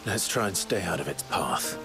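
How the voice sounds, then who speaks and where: A brooding young assassin and swordsman with a very low voice. He speaks tersely in a reserved, deadpan way, as in a fantasy game cutscene. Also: normal speed, gravelly, over loud music. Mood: dry, grave. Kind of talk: video game cutscene acting.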